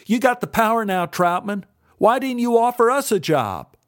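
A man's voice: high-pitched, big pitch swings, normal speed, fairly bright tone, dry recording, clean studio sound, pitched above the performer's own voice. A man voicing a cocky, pushy young male actor who talks sarcastically. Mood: needling.

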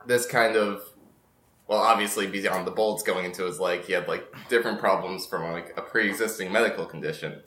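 A man co-hosting a comedy podcast, reading out odd news and dropping deadpan one-liners in casual chat. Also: sits low, a little fast. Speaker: podcast co-host presenting stories. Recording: echoey room.

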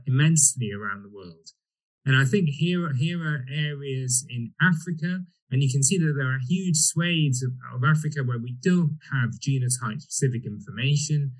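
Man from Egypt, husky voice, medical lecture, measured, explanatory. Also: mid-range voice, poor audio. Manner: measured, explanatory, with frequent fillers. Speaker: doctor presenting. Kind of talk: medical presentation.

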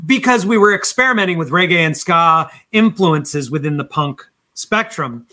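A man's voice: on the high side, lively intonation, moderate pace, bright, forceful tone. A man in his fifties, a band singer, chatting casually and enthusiastically in an interview with emphatic delivery. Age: 50s.

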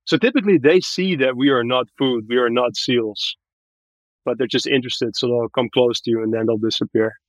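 Man, podcast guest, casual, explanatory, medium pitch, dry recording. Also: fairly quick, dry recording, poor audio. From Barbados. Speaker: podcast guest, tech growth manager. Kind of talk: casual interview chat.

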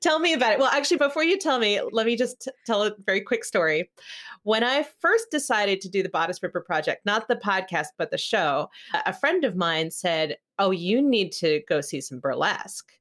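A woman's voice: mid-range voice, very animated, brisk, fairly bright tone. A woman hosting a podcast in a casual, conversational way, emphatic, enthusiastic and informal. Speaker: podcast host, performer.

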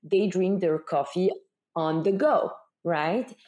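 A Belgian-accented woman speaks as a founder being interviewed. Her voice sits a little low and has a dark, mellow tone. She explains conversationally, often posing questions and checking in with short tags. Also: takes it slowly, dull audio.

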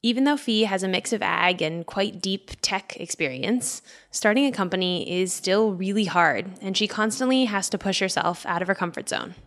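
A woman's voice: medium pitch, moderate pace, a bit bright. A woman hosting a podcast, interviewing and narrating in a conversational style. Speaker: podcast host.

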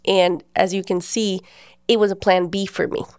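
A woman's voice: mid-range voice, flat delivery, normal speed, clean tone, no room sound, studio quality. A woman, hosting a podcast and telling a personal faith story in an earnest, conversational way. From Guatemala. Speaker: podcast host.

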